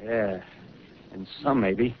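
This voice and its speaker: An actor with an American accent playing a wild-eyed, scheming survivalist militiaman, talking rough and fervently in 1930s radio drama style. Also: fairly low pitch, takes it slowly. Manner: rough colloquial talk, fervent, ranting. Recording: noisy, muffled 1938 radio broadcast recording.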